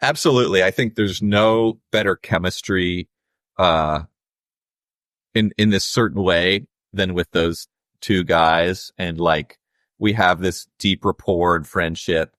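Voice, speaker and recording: A young adult man with a low voice, a musician guest talking casually and reflectively in an interview, with many fillers. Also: slow pace, a bit bright, dry recording, clean studio sound.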